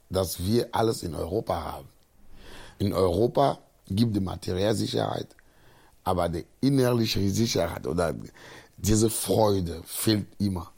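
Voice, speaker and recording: A man's voice: deep voice, gravelly, darkish tone, husky. A man, reflecting aloud as an interviewee in a radio feature. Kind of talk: reflective spoken commentary.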